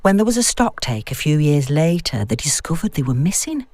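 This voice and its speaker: A woman's voice: deep voice, very animated, a little fast, darkish tone, no echo. A woman voicing a knowing, unflustered female academic who tells spooky tales in an audiobook story. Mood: eerie storytelling. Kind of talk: audiobook dialogue.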